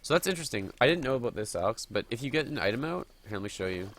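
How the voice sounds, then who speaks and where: A man's voice: mid-range voice, sing-song, rapid-fire, fairly bright tone. A man with a cold, sniffling a little, chatting and thinking aloud as he streams a game live. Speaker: gaming streamer.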